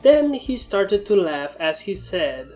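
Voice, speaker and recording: A woman's voice: medium pitch, sing-song, slow pace, darkish tone. A woman reading scripted story narration as the narrator of a fan fiction tale. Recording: music behind the voice.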